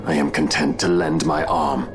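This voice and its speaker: Veteran knight: deep, measured, formal; game cutscene with music. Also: male voice, fairly even intonation, vocal fry. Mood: solemn. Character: deep-voiced loyal veteran knight, solemn and steadfast. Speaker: knight escorting a princess. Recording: game cutscene with loud score.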